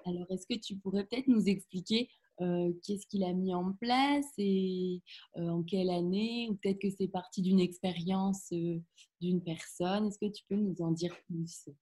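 A woman's voice: fairly low pitch, steady tone, a little slow, warm tone. A woman hosting a podcast interview, asking curious questions and often backchanneling with short murmurs. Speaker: podcast host.

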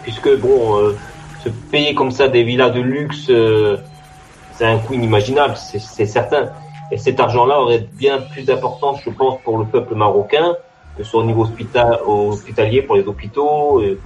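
A man's voice: medium pitch, normal speed, highs cut off, soft background music. A man calling in to a radio show, speaking hesitantly with many fillers while he has a cold. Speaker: caller.

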